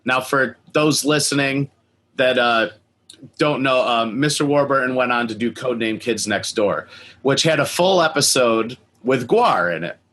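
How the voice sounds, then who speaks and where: A man's voice: medium pitch, measured pace, a bit bright. An American man chatting casually as the host of a fan interview, with a mid-range voice.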